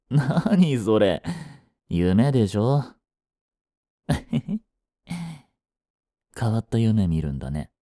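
A man plays a young yandere boyfriend in a romantic audio drama, jealous and possessive. His mellow, intimate voice moves slowly and coaxingly, with wide swings in pitch. He sounds sweetly menacing and controlling. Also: no echo, clean studio sound. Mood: falsely gentle. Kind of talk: Japanese romantic situation voice drama.

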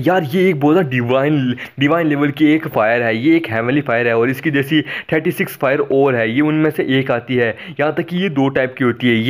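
A young man excitedly narrating a cartoon recap in a chatty, slangy way. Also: medium pitch. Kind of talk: casual explainer narration.

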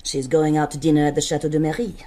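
A woman's voice: low voice, level tone, fast talker, warm tone. A woman playing a cold, curt hotel madame in a radio drama. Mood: cold, dismissive.

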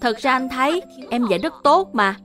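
Casting: kind cartoon big brother, a young boy, high and earnest, music behind. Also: animated, normal speed. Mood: reassuring. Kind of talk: cartoon dub.

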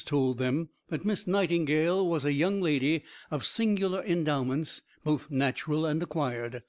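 A man reading a biography aloud as an audiobook narrator, in an even, measured way. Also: a little high.

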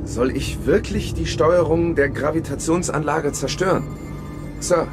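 A man's voice: on the high side, unhurried, close to an everyday voice. A man playing a dutiful, alert ship's officer in a radio drama, with military deference. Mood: anxious. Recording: loud music behind the voice.

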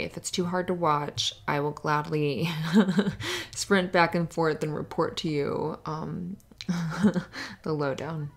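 A woman playing a sweet, flustered young cheerleader in an ASMR roleplay, halting and stammering as she hides that she is upset. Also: deep voice. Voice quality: creaky, often dropping to breathy whispers. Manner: halting, stammering, nervous little laughs. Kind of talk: ASMR roleplay, spoken to the listener.

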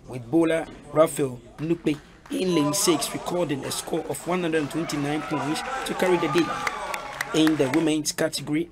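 A man reading a scripted news report in a measured, even way. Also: fairly high pitch.

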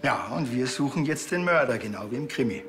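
A man's voice: mid-range voice, fairly quick, music in the background, lightly acted. Man acting a dry small-town policeman. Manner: dry, deadpan. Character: laconic, dryly pushy male small-town police officer.

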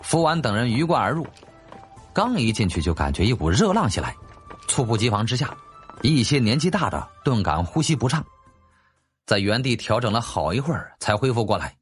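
一位男演播者以戏剧化的方式讲述历史小说，是照稿演播的有声书旁白。. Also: male voice, mid-range voice, big pitch swings, brisk, soft background music. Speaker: audiobook narrator. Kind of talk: dramatic scripted narration of a historical novel.

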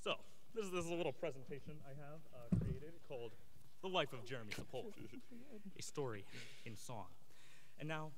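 A man's voice: a little high, animated, a little fast, pauses often, heavy background noise. A young man at a talent show doing comic impressions, singing in silly voices and laughing, into a podium microphone on an auditorium stage. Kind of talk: comic impressions over a backing track. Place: large school auditorium stage. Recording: backing music playing over the voice.

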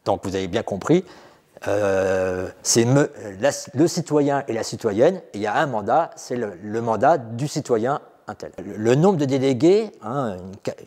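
A man's voice: medium pitch, expressive, measured pace, darkish tone. A middle-aged man guiding visitors through an exhibition, explaining in unscripted talk full of fillers and restarts. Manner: hesitant, many fillers and restarts. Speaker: exhibition guide. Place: exhibition room indoors. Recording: reverberant room.

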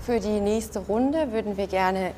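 A woman's voice: mid-range voice, unhurried, noisy background. A woman at a model casting, politely announcing decisions and praising candidates. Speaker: casting client. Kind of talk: casting feedback.

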